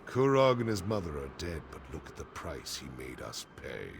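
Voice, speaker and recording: A man playing a weary, solemn orc chief in a fantasy game, speaking gravely in a deep, gravelly voice. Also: sing-song, normal speed, a little hiss or hum, heavily acted. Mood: grim, sorrowful.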